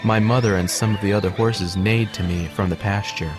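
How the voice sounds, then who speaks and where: A man calmly narrating a children's storybook. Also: lowish voice, a little fast. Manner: calm, clear reading. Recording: studio narration over music.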